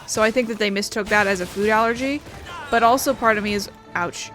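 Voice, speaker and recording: A woman's voice: mid-range voice, fairly quick, fairly bright tone. A woman streaming a game, chatting casually in a rambling, blunt way. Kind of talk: casual gameplay chat. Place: indoors at home. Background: game music.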